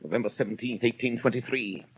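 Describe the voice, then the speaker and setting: A man plays an obsessive, rigid police inspector in a vintage radio drama. He speaks in a middle pitch, formal and deliberate, as if entering each word in a log. The recording is dull, with clear hiss. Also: natural voice. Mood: cold, final. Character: stern, obsessive male police inspector reading his notebook. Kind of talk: 1930s radio acting. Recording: muffled, hissy 1930s radio recording.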